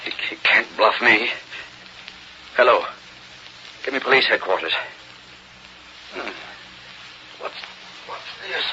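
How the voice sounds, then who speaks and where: A man's voice: medium pitch, lively intonation, sharp tone, exaggerated voice. An actor playing a dazed but determined, indignant middle-aged American man in an old radio drama. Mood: groggy, confused. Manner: halting, muttered fragments turning to firm orders. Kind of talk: 1930s-40s radio drama acting. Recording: dull, noisy old radio recording.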